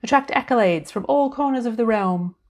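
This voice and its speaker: A woman's voice: on the high side, fairly quick, voice pushed up, close to an everyday voice. A woman voicing a tiny, cheerful, scatterbrained older fairy godmother in a storybook reading, breezy and chatty.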